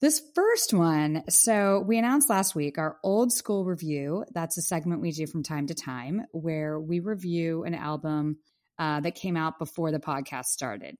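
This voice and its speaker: Chatty podcast co-host lady, voice going hoarse from a cough, lowish tone. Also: unhurried, darkish tone. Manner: chatty, laughs easily. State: losing her voice, coughing. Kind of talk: casual podcast chat.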